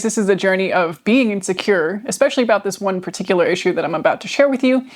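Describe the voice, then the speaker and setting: Woman, deep voice, reflective vlog storytelling, soft little laughs, close mic. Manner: thoughtful, soft laughs between phrases. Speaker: vlogger. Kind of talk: reflective casual storytelling to camera. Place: indoors, seated at home. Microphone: microphone near her.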